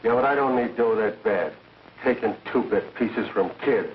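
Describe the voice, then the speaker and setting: An actor playing an indignant, stubborn small-time crook in a 1930s film, on a dull, noisy soundtrack. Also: male voice, lowish voice, normal speed, close to an everyday voice.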